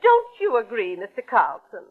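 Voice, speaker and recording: An overwrought, fussy lady of means in an old radio melodrama. Her high voice swoops dramatically and stays prim and haughty. The vintage recording is a little dull. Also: wildly swooping pitch, normal speed. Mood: indignant, distressed. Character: distraught, haughty, fussy well-to-do lady pet owner. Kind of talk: melodramatic 1940s radio acting.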